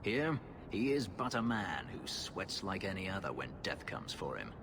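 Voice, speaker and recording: An actor playing a brooding, bitter fugitive slave warrior in a video game, with grave, formal, measured speech. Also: male voice, low voice, sing-song, background noise. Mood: grim resolve.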